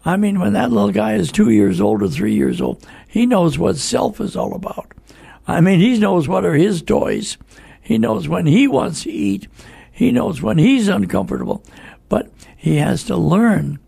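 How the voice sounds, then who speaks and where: An older man hosting a radio talk show, speaking conversationally in a folksy, halting way straight to his listeners. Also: high-pitched, expressive, creaky, warm tone, breathy edge, no room sound.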